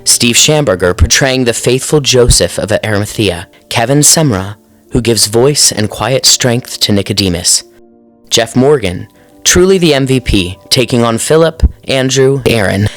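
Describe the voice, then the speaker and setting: A man reading scripted credits as an announcer, in a formal, appreciative manner. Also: medium pitch. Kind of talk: scripted narration. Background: faint music.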